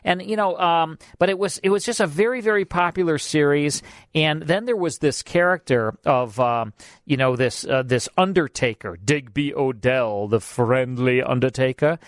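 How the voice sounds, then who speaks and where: A man's voice: on the high side, normal speed, no room sound, studio quality. A man hosting a radio show, chatting casually and rambling with lots of fillers as he introduces an old broadcast.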